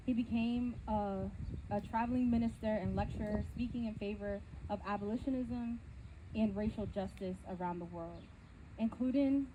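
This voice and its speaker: Very mellow woman reading a formal introduction. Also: medium pitch, a little hiss or hum, low-quality recording. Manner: formal reading with stumbles and self-corrections. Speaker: presenter introducing a performer. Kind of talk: read-aloud biographical introduction.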